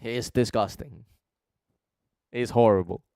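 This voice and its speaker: A man's voice: mid-range voice, steady tone, slow pace, creaky, mellow, clear, resonant tone, no room sound, studio quality. A man from Denmark chatting casually as a co-host of a reaction show, with a blunt, joking, sweary manner. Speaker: co-host reviewing beatbox competition entries.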